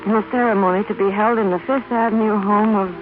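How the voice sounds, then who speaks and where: Man acting a high-pitched vintage society announcer. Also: fairly even intonation, fast talker, lightly acted. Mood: stately. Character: formal society announcer proclaiming news. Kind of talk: 1930s-40s radio announcement. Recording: tinny old radio recording. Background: music.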